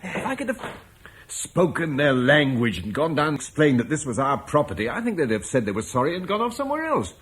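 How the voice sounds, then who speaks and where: An actor playing a gentle, troubled Roman landowner and father, speaking reflectively in a vintage radio drama. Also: fairly high pitch, big pitch swings, fairly quick, husky, heavily acted. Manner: reflective, soft-spoken, haunted.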